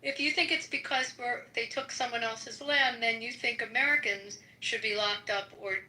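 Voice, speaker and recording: A woman's voice: a little high, steady tone, normal speed, pushed and bright, reverberant, rough recording. A woman in a heated, informal political debate, speaking insistently and confronting the other side. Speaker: debate participant.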